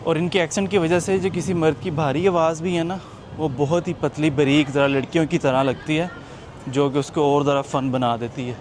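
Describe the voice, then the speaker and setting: A man's voice: on the high side, fairly even intonation, fairly quick, a little mellow. A young man chatting casually to viewers as he walks through a busy tourist area. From Pakistan. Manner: chatty, jokey, talks to viewers while walking. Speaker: travel vlogger. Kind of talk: casual walking vlog narration. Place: outdoors at a busy tourist waterfront. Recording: outdoor street noise. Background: street noise and traffic.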